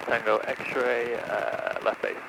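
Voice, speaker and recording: A man's voice: mid-range voice, flat delivery, slow. A man giving clipped aviation radio calls over a headset, with engine noise. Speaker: pilot making radio calls. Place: small helicopter cockpit in flight.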